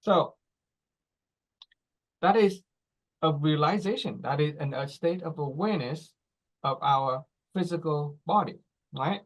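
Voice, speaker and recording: A man in his forties giving a teaching talk, explaining slowly in short phrases and checking in with "right?" and "okay?". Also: fairly high pitch, rough recording. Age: 40s. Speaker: speaker.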